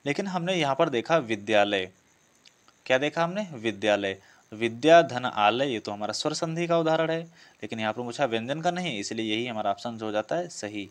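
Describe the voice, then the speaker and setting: A man's voice: mid-range voice, expressive, normal speed. A man teaching a test-prep lesson, working through questions didactically and repeating key phrases. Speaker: exam coaching teacher.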